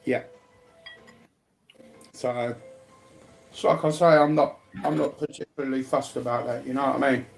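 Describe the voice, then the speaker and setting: Male, mid-pitched and fairly monotone, colloquial rambling chat with repeated phrases, faint music behind. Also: moderate pace, creaky, long pauses, poor audio. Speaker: speaker sharing personal life news.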